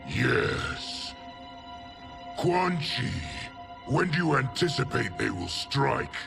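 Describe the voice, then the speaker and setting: An actor playing a gruff, warmongering military leader in a fantasy video game, with a gravelly voice and a stern, formal, commanding manner. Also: male voice, mid-range voice, very animated, takes it slowly, music in the background, full character voice. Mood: stern, urgent. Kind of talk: dramatic video game cutscene acting.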